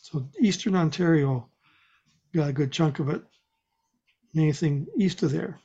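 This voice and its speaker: A man's voice: on the high side, measured pace, mellow, husky, highs cut off. A man presenting a radio show in casual group conversation, with a dry, joking way of talking.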